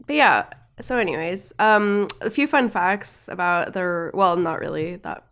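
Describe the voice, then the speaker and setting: A woman co-hosting a casual podcast, her voice a touch low and stuffy from a cold. She rambles and fills every pause with fillers. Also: fairly bright tone, smooth. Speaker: podcast co-host. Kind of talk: casual cartoon review chat.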